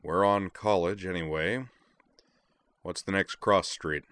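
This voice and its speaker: Unflappable, mild-mannered driver for a storybook male reader acting, low pitch, measured delivery, dry sound. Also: fairly even intonation, fairly bright tone, no echo. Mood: tired. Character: calm, level-headed, unflappable male driver.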